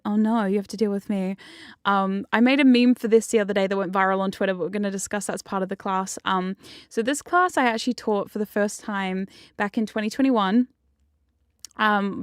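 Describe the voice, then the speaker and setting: A woman's voice: medium pitch, clear, resonant tone, dry recording, clean studio sound. A woman hosting a livestream event, chatting casually in a bubbly, giggly, self-deprecating way. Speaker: stream host.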